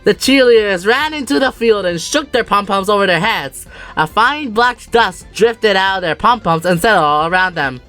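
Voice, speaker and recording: A woman's voice: medium pitch, expressive, normal speed, fairly bright tone, faint music behind. A woman narrating a children's story for a fan dub with playful emphasis.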